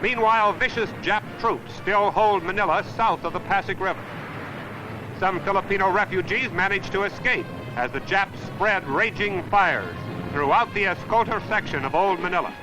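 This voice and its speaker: An American man narrating a 1940s newsreel as commentator, in a dramatic, emphatic, declamatory style with clipped phrases. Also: high-pitched. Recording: noisy 1940s newsreel soundtrack. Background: music, artillery fire and battle sounds.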